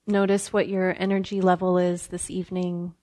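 Female meditation guide: gentle, unhurried, flat-pitched delivery in a dry, close sound. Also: no echo. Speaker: meditation teacher.

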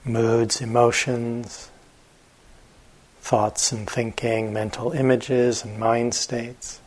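A man leads a guided meditation as its teacher. His voice is husky and sits at a medium pitch. He speaks very slowly and gently, leaving long, unhurried pauses between short phrases. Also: level tone.

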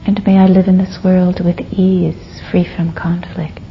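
A woman meditation teacher leading a guided meditation, speaking softly and gently with long pauses and a breathy fade at phrase ends. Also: lowish voice, flat delivery. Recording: dull lo-fi recording with faint noise.

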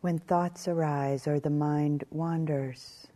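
A woman teaching guided meditation in a calm, measured way, leaving long pauses between short phrases. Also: deep voice.

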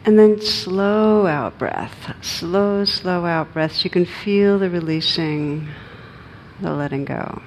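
A woman meditation teacher leading a guided meditation, giving gentle, unhurried instructions with long pauses. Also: low-quality recording. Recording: steady background noise with low rumble.

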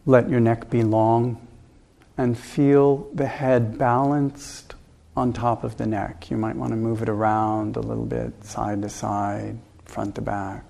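A man teaches meditation in a very slow, relaxed voice with a gravelly creak at the edges. His instructions are calm and gentle, given in short phrases with room left between them. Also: mid-range voice. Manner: calm, gentle, unhurried instructions with long pauses.

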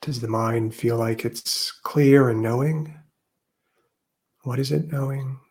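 Slow, even-toned man guiding a meditation. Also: mid-range voice. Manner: gentle, unhurried, with long pauses. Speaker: meditation teacher. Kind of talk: guided meditation.

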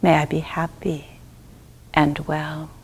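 A woman leading a guided meditation as a teacher, speaking gently and softly in short phrases with long pauses and breathy endings. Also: low voice, little pitch movement. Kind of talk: guided loving-kindness meditation.

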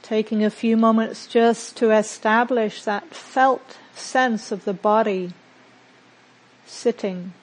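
Slow-speaking woman guiding meditation. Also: fairly high pitch, fairly even intonation, low-quality recording. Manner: gentle, unhurried, with long pauses between phrases. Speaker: meditation teacher. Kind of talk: guided mindfulness meditation.

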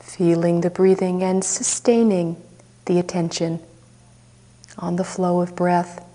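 A woman teacher leading a guided meditation, speaking softly and unhurriedly with long pauses. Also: on the low side, little pitch movement.

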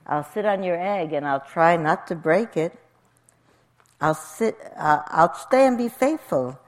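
Woman acting slow, low, steadfast gentle elephant. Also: animated. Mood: resigned. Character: gentle, faithful, dutiful big male elephant.

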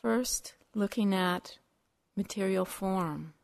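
A woman teaching a guided meditation in measured, short phrases separated by long pauses. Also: mid-range voice, vocal fry, darkish tone.

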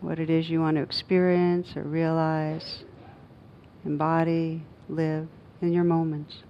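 A woman guiding a meditation as a teacher, speaking gently and unhurriedly in short phrases with long pauses. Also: little pitch movement. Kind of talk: guided meditation. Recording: dull muffled recording with faint rumbling noise.

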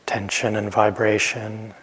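A man teaching a guided meditation in a gentle, unhurried manner, leaving long pauses between phrases. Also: lowish voice, level tone, husky, low-quality recording.

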